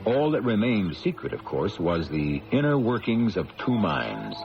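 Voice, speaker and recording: A man hosting and narrating an old radio drama in a deliberate, theatrical, grandiloquent manner. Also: fairly low pitch, big pitch swings. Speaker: host and narrator. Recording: dull old radio broadcast recording. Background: prominent music.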